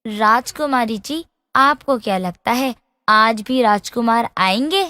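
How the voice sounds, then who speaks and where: A woman's voice: on the high side, animated, takes it slowly, pushed and bright, no echo, clean studio sound, big-room sound, heavily acted. A woman playing a princess's loyal young friend in a fairy tale, forthright and protective. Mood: curious.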